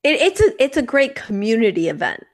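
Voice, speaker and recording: A woman co-hosting a podcast, chatting casually in halting phrases with lots of "like". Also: on the high side, very animated. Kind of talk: casual chat.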